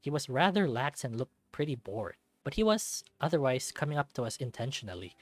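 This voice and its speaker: A man's voice: mid-range voice, big pitch swings, brisk, no room sound, faint music behind. A man reading a first-person story aloud in a dramatic narrating style, as for a visual novel.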